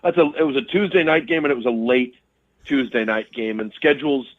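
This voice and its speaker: A man joins a sports talk show over a tinny phone line, breaking down a game in casual analysis. His mid-pitched voice is thoughtful, often repeating words before he lands his point. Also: brisk. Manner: thoughtful, stammering restarts. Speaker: sports radio guest. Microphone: telephone.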